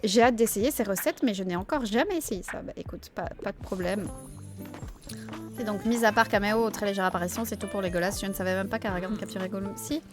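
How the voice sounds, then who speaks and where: A woman's voice: mid-range voice, fairly quick. A woman streamer giving casual gameplay commentary, chatty and thinking aloud as she plays. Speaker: gaming streamer. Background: faint game music.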